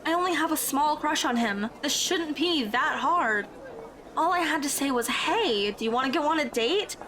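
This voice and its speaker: A woman playing an awkward, nervous high school girl in an audio drama, a little hesitant, with music behind her. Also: expressive, moderate pace, a bit bright, a faint crowd, a little hiss or hum. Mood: self-reproachful. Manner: hesitant, stammering when flustered.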